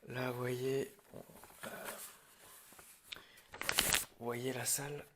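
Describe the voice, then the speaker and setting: Slow, gravelly Frenchman in halting ASMR roleplay. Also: medium pitch, flat delivery, background noise. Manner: hesitant, halting, informal. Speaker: ASMR creator playing a film director in a roleplay. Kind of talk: improvised ASMR roleplay, addressing imagined film crew.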